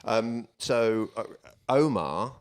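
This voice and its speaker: A man's voice: medium pitch, lively intonation, takes it slowly, smooth. A man who is a comedian, co-hosting a podcast in a casual chat and riffing with dry, jokey asides.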